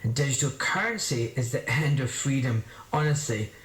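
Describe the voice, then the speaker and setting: A man talks in an urgent, indignant tone, as if rallying people against the government. His voice is mid-pitched. The recording carries a roomy echo. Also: lively intonation, moderate pace, fairly bright tone. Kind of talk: protest speech.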